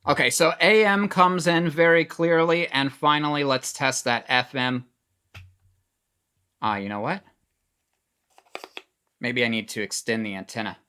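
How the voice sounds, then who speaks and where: A man's voice: medium pitch, unhurried, long pauses, pushed and bright. Male product reviewer, middle register, casual demo delivery, plain and explanatory.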